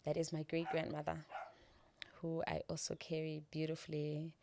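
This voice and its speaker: A South African woman from the Eastern Cape, a guest telling her story in casual conversation, laughing often and pausing with fillers. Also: low voice, completely flat delivery, vocal fry, noisy background.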